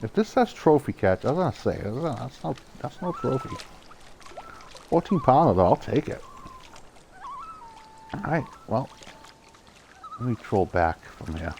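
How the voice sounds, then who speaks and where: A young man with a Boston accent, chatting casually as he streams a fishing game, getting excited at each good catch and laughing often. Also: mid-range voice, unhurried, long pauses, slight background noise. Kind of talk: casual live gameplay commentary.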